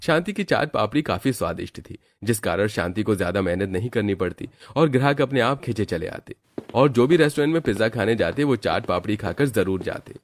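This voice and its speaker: A man's voice: on the low side, lively intonation, a little fast, a little hiss or hum. A man giving scripted storytelling narration for a moral cartoon.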